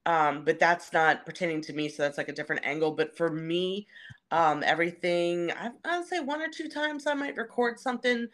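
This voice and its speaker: A woman's voice: fairly low pitch, normal speed, fairly bright tone. A woman who works as a stadium announcer, answering interview questions in a conversational, explanatory way.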